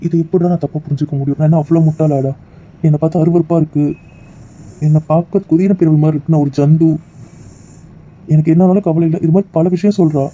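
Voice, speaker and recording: A man's voice: a little high, rapid-fire, few pauses, warm tone, breathy edge, muffled recording. A man playing a heartbroken rejected husband. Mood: anguished. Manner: pleading, despairing, voice breaking into raised anguish. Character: heartbroken young husband rejected by his wife. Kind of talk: emotional drama acting.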